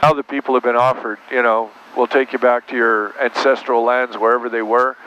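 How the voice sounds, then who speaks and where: A man with a medium-pitched voice talks as an aircraft captain in a small cockpit. He explains things casually and plainly. The sound is dull and muffled, with a faint engine drone behind him. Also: level tone, a little fast, fairly bright tone, slight background noise. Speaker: airline captain.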